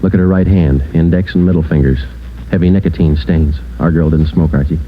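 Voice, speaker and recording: Low, deadpan American radio police sergeant played by a man. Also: brisk, background noise, close to an everyday voice. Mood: firm, flat. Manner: deadpan, clipped, matter-of-fact. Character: terse, dogged male police sergeant narrating his case. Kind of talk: 1940s-50s radio police drama narration. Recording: muffled old radio broadcast, music behind.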